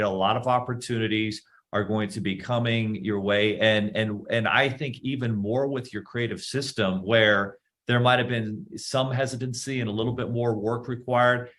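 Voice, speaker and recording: Male podcast host, upbeat motivational read, middle pitch. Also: fairly bright tone. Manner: upbeat, hyping, calls listeners guys. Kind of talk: casual interview talk.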